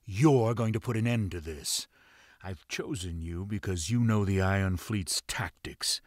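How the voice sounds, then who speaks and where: An actor playing a shrewd, commanding pirate lord in a video game, speaking in a measured, menacing and authoritative way. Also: male voice, low-pitched, dry recording, clean studio sound. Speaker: video game character giving mission briefings. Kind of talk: dramatic game cutscene acting.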